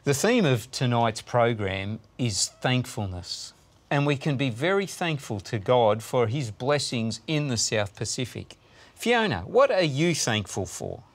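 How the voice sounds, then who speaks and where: A man's voice: medium pitch, very animated, measured pace. Middle-aged man, pastor host, scripted welcome, studio lapel mic. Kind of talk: church broadcast hosting. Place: studio with blue screens.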